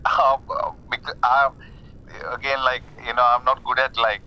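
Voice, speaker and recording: A man in his forties with a Sri Lankan accent, talking casually and haltingly over a muffled, rumbling phone call. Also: level tone, pushed and bright. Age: 40s. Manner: hesitant, halting, repeats words. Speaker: caller, lay Buddhist practitioner. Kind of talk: casual conversation about personal spiritual path.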